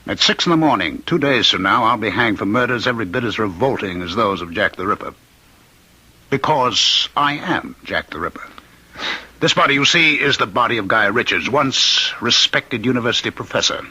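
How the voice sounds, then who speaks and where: Sharp, menacing condemned killer. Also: male voice, medium pitch, expressive, moderate pace, husky, exaggerated voice, rough recording. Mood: chilling, assured. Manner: insistent, menacing, theatrical. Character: condemned male killer claiming to be Jack the Ripper's spirit. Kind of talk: old-time radio mystery acting. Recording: vintage radio drama recording.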